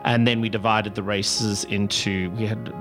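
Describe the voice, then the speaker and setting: A man's voice: on the low side, little pitch movement, normal speed, music in the background. A middle-aged man with a South African accent, answering interview questions thoughtfully with many fillers and restarts. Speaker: executive director of a pride center.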